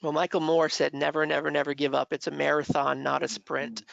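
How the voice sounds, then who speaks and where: Guy hosting a zoom thing, bit high and croaky, casual, stop and start, dry muffled sound. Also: completely flat delivery, brisk, a bit bright, no echo. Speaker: host, webinar moderator. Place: online video meeting. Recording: dull, dry online-call audio.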